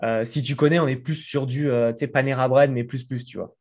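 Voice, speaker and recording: A man's voice: medium pitch, steady tone, brisk, darkish tone. Man in his thirties, from France, casual chatty guest, living room, desk mic. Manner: chatty, many fillers, gestures while talking. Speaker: guest entrepreneur. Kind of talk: casual interview talk. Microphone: desk mic in frame.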